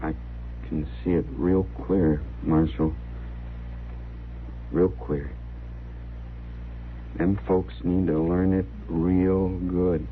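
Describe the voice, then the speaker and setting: An actor playing a simple, wounded, brooding young man with halting, folksy speech in a western radio drama. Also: low-pitched, little pitch movement. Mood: hurt, brooding. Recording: muffled, hissy old radio recording.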